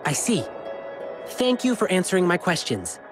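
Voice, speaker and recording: Young game adventurer, lowish voice, bouncy pitch, earnest, music under it. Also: female voice, normal speed. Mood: confident. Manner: earnest, expressive. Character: youthful, confident adventurer, watchful and kind. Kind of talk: video game voice acting.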